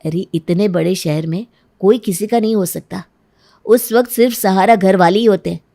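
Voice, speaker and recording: A woman's voice: mid-range voice, moderate pace, vocal fry, warm tone, lightly acted. A woman voicing a friendly young man, a family friend in a cartoon story, polite and persuasive. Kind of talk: Hindi cartoon story acting.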